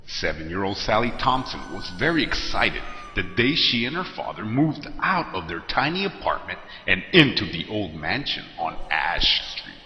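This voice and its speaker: A man's voice: on the low side, very animated, normal speed, few pauses, bright, forceful tone, a little hiss or hum. A man narrating a scary story in a dramatic, scripted style. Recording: echoey long reverb. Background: music.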